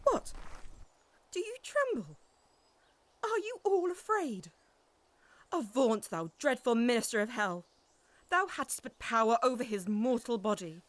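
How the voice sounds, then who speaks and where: A woman's voice: on the high side, sing-song, measured pace, pauses often, no echo. A woman playing a grieving, defiant noble widow in a Shakespearean audio drama, with declamatory, emotional delivery. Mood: defiant horror.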